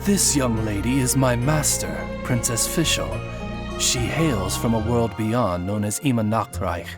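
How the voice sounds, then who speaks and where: A man voicing a polite, courtly talking raven familiar in a video game, calm and formal. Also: fairly low pitch, measured pace, close to an everyday voice. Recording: game audio with music behind.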